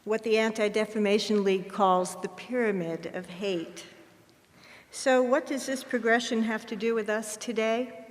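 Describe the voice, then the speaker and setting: Woman presenting in an echoey hall. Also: medium pitch. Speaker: presenter at a ceremony. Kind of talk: scripted stage introduction. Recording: echoey hall with long reverb.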